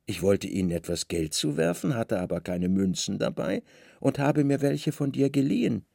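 A man playing a brooding, commanding Roman governor in a radio play, speaking with measured authority and pointed emphasis. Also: medium pitch, big pitch swings, vocal fry, dark tone, dry recording, clean studio sound. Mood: wry, insistent. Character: brooding Roman governor, commanding, wry, insistent on his hunches.

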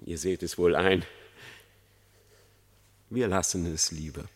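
An actor playing a sly fox in a German radio drama, declaiming with theatrical flattery and feigned pious remorse. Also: male voice, deep voice, slow pace, husky, heavily acted. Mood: mock penitent. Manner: theatrical, obsequious, emphatic declamation. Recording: long reverberant hall.

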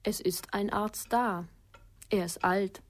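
A woman's voice: mid-range voice, steady tone, slow. An actress playing a guarded, fearful young woman in a radio crime drama, speaking in short, reticent statements. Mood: guarded, flat. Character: guarded, fearful young woman protecting her half-brother.

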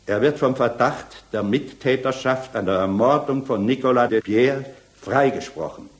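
An actor playing a solemn presiding judge in a 1960s radio drama, making formal, measured courtroom pronouncements with authority. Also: male voice, medium pitch, lightly acted.

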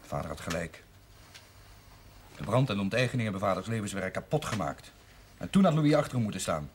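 An actor playing a stubborn, resentful young grocer in a 1970s television drama, blunt and terse. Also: male voice, lowish voice, very animated, a little fast. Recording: noisy 1970s television soundtrack.

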